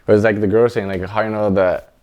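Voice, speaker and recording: A male podcast co-host with a lowish, dark and mellow voice. His delivery is relaxed and informal, full of everyday slang, like chatting with a friend. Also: fast talker. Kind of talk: casual advice podcast banter.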